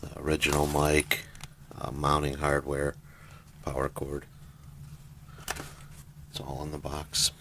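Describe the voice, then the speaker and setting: A man giving a casual, plain-spoken product demonstration as a private seller, in an unhurried, informal way. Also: a bass voice. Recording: noisy home video, steady background noise.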